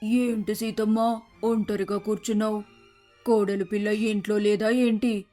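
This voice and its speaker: A scheming neighbourhood gossip in a moral story, played by a woman. She speaks in an even, narrow range at middle pitch, with light music underneath. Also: a little slow, lightly acted. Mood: prying. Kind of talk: acted moral-story dialogue.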